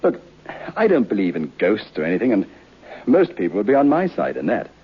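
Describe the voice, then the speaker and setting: An actor playing a polite, earnest young divinity student in a vintage radio drama, a little hesitant and defensive. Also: male voice, fairly low pitch, big pitch swings, a little fast, slightly rough. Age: young adult. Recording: muffled old radio recording.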